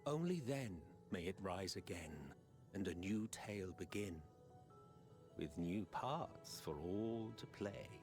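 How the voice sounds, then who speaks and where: An actor playing a proud, defeated villain in a video game, grandiloquent and disdainful. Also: male voice, sits low, lively intonation, slow pace. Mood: proud, solemn. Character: proud, theatrical, defeated male villain, imperious and wry. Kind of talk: dramatic video game acting. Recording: orchestral music under the voice.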